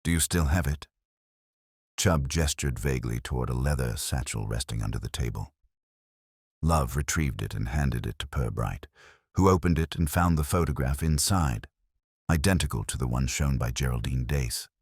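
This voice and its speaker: Very deep-voiced male story narrator. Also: moderate pace, husky, no echo, studio quality. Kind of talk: scripted mystery story narration.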